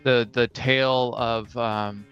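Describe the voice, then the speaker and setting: A man chats casually about tabletop gaming as a co-host. His voice is very smooth and stays quite flat in pitch, and the recording is a little muffled, with soft music behind it. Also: slow. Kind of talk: casual discussion of tabletop role-playing games. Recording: dull lo-fi sound, music underneath.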